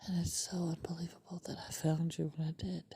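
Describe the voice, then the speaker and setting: A woman playing a sleepy, adoring girlfriend in an ASMR roleplay, whispering tender, soothing pillow talk in a breathy voice. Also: lowish voice, level tone, a little fast, mellow, no echo. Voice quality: breathy, mostly unvoiced whisper.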